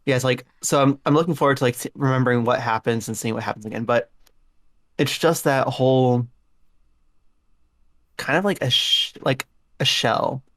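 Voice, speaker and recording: A woman with an unusually deep voice chatting about an anime on a podcast. She rambles and leans on "like" throughout. The sound is dry and close. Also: moderate pace, long pauses, no room sound. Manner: rambling, heavy use of "like". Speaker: podcast co-host. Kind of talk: casual anime discussion.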